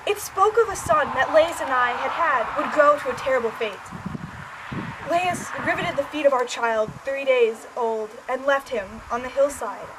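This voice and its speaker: A woman's voice: sits high, normal speed, lightly acted. A young woman playing a pleading, protesting queen in an amateur puppet-show tragedy, with a recited delivery. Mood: explaining. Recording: noisy amateur recording.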